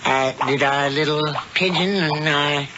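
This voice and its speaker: A secretive, scheming father, played by a male actor, guarded and measured in the style of 1970s radio drama. The recording is dull and very noisy. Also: fairly high pitch, lightly acted. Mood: guarded, sinister. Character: secretive, scheming father running a hidden operation.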